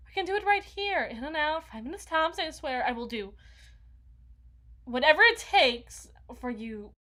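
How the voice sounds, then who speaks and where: A woman's voice: high-pitched, lively intonation, moderate pace, pushed and bright. A woman voicing an awkward, needy, housebound young vampire in an ASMR roleplay, rambling and stammering as she corrects herself. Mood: disbelieving, grateful, eager. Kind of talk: intimate ASMR roleplay monologue.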